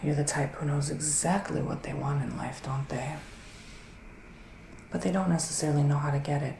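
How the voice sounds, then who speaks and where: A man playing a gentle, theatrical male witch psychic in an ASMR roleplay, speaking in a breathy, sighing, partly whispered hush. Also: on the high side, steady tone, normal speed, echoey room, a little hiss or hum. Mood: welcoming, hushed. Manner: breathy, sighing, hushed, dramatic. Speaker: ASMR performer.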